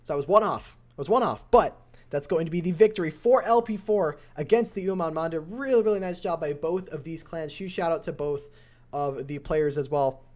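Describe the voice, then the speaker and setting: A young man with a voice going hoarse, calling a mobile strategy game match on his live stream. His play-by-play is excitable and builds to near shouts at close finishes. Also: on the high side, a little fast. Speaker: esports caster and streamer.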